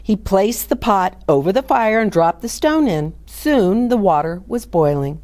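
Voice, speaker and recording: A woman reading a children's picture book aloud with animated, dramatic and emphatic storytelling. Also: mid-range voice, measured pace. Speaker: children's story reader and host.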